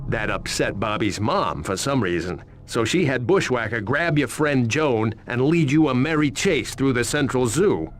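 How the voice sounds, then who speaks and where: A man's voice: mid-range voice, expressive, normal speed, hardly any pauses, a little hiss or hum, close to an everyday voice. An actor playing a jumpy, sarcastic man who complains and wisecracks in a video game cutscene. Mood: sardonic.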